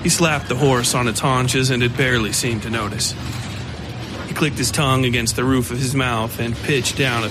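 A man reading scripted, dramatic narration for a horror fiction podcast. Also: mid-range voice. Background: music.

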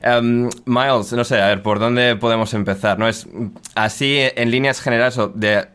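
A man's voice: medium pitch, steady tone, moderate pace, fairly bright tone, smooth. A man hosting a podcast interview, chatty and rambling as he asks questions. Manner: chatty, rambling with fillers and restarts. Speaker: podcast host.

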